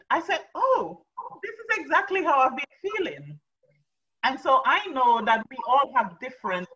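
A woman from Dominica moderating a video call panel, speaking in a reflective, measured way with drawn-out emphasis. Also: high voice, bright, forceful tone. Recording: dull distant video call audio.

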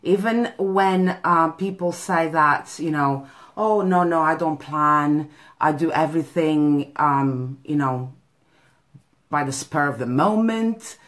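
A woman's voice: deep voice, slow, fairly bright tone. A woman, coaching live over a phone camera in a small office, chatty, with many ums and "okay?" tags. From Portugal. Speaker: business coach. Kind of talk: live video talk to a group. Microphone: phone camera at arm's length.

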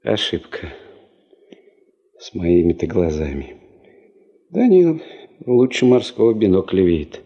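Chatty wry ex sailor worker, low husky voice, jokey and folksy, old soviet radio play. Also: male voice, big pitch swings, measured pace, long pauses, full character voice. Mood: bragging, folksy. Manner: folksy, joking, mock-grandiose. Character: chatty, wry working man, ex-sailor and prospector. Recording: dull 1970s radio drama tape.

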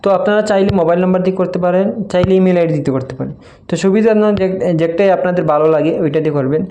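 Mellow-voiced man giving phone tutorial. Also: sits high, very fast, muffled recording. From Barak Valley, Assam, India. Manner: repetitive, instructional phrasing. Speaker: tutorial video creator. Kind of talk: informal step-by-step phone app tutorial. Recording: faint background noise.

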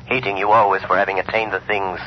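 Man acting a mysterious crime-fighting avenger, low voice, measured, commanding, old muffled radio drama recording. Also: flat delivery, a little hiss or hum, lightly acted. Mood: calm, grave. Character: all-knowing, calm, mysterious male crime-fighting avenger.

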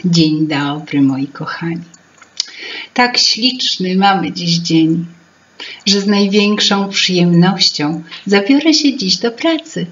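Woman as a gracious, unhurried storybook sister. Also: on the low side, lively intonation. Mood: cheerful. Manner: elegant, drawn-out, charming. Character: gracious, eloquent, sunny fairy-tale sister. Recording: dull lo-fi home recording.

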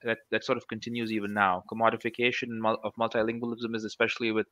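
Man in his thirties, interview guest over headset; forceful bright voice, halting and thoughtful, dry lo-fi call sound. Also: on the low side, little pitch movement, dry recording. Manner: thoughtful, many restarts and fillers. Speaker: guest researcher. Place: small room at home.

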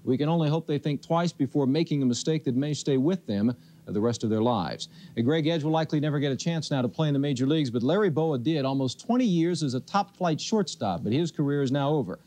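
Casting: male sportscaster, quick delivery, higher-pitched but mellow. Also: lively intonation, poor audio. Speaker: sports anchor. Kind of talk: scripted sports news.

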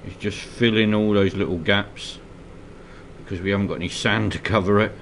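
A man's voice: on the low side, moderate pace, a little hiss or hum. A man from Scotland with a slight cold, casually talking through a miniature painting tutorial at his hobby desk, hesitant with many fillers. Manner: relaxed, hesitant, many ums and uhs.

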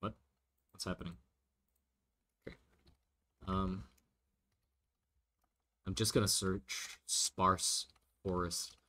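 A man's voice: low-pitched, little pitch movement, takes it slowly, long pauses, sharp tone. A man chatting casually on a livestream while he draws, thinking aloud and answering viewer questions with many restarts. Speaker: streamer drawing a fantasy world.

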